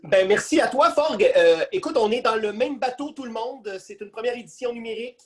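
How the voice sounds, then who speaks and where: A man's voice: extremely high pitch, brisk. A man with a Quebec French accent hosting an online interview in a casual conversational style. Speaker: festival host and interviewer. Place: video call.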